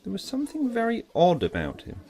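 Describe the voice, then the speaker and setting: A man's voice: high voice, huge pitch swings, brisk, dark tone. A man giving a scripted, dramatic narration of an audiobook story.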